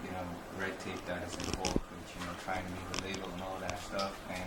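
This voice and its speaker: A young Nigerian man, a musician guest, chatting easygoing about his career and giving shout-outs. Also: low voice, completely flat delivery, quick pace. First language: Igbo. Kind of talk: casual interview talk. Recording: echoey room, distant mic, loud background noise.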